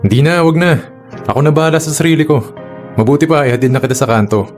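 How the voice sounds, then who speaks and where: Fast-talking husband played by a man. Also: on the high side, faint music behind, natural voice. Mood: casual, tired. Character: husband home from a night shift, secretly menacing. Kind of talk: radio drama acting.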